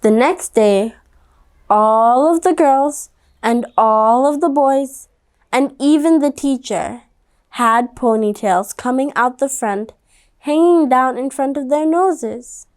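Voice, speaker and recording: A woman's voice: high-pitched, takes it slowly. A woman reading a picture book aloud to children, conversational and speaking directly to her young listeners. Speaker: storybook reader.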